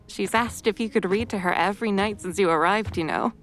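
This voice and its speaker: A woman voicing a gracious, softly amused mother in an animated comic drama, polite and articulate. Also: medium pitch, brisk, soft background music, close to an everyday voice. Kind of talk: animated motion-comic voice acting.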